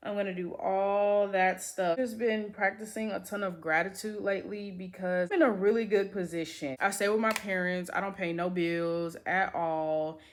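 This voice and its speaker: A woman's voice: medium pitch, fairly even intonation, normal speed, few pauses. A woman in her twenties talking casually to camera in an upbeat, chatty way, with lots of filler words. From United States. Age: 20s. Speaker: beauty vlogger.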